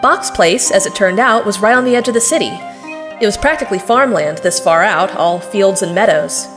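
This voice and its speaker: A woman's voice: mid-range voice, a little fast, music in the background, close to an everyday voice. A woman playing a plucky, wry young girl who narrates and acts in a fantasy audio drama. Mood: wry narrating. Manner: wry, colloquial, sardonic.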